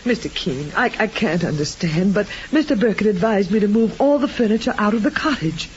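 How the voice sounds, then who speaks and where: Woman acting anxious polite widow in old radio show, middling pitch, muffled, faint hiss. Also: animated, brisk, natural voice. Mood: bewildered. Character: anxious, bewildered female widow, polite and trusting.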